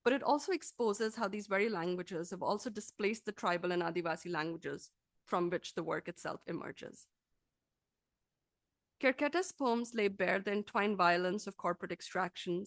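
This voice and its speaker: A woman lecturer reading a scripted academic talk aloud in a formal, measured, articulate manner. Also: medium pitch, no echo.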